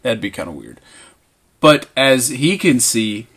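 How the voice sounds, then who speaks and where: A man's voice: medium pitch, very animated, measured pace, fairly bright tone, husky. A man in his late twenties with a Southern American accent, co-hosting a casual podcast from a home man cave, easygoing and self-deprecating. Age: late 20s. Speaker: podcast co-host, teacher. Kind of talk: casual chat.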